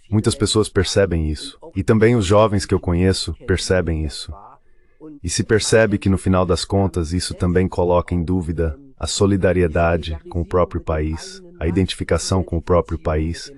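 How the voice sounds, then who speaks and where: A man giving a measured, explanatory voice-over translation of a guest on a discussion panel. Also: sits low, darkish tone.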